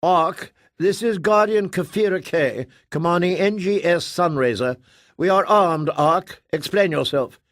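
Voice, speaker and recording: A man doing a brash young woman captain, high above his own pitch and loud. Her urgent commands are gravelly at the edges, recorded dry and close. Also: sing-song, normal speed, no echo, full character voice. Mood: threatening. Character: young female starship commander, brash and alarmed.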